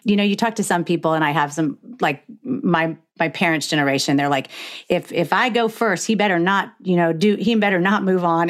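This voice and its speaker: Female podcast host, middle-aged, low register, candid and emphatic in conversation.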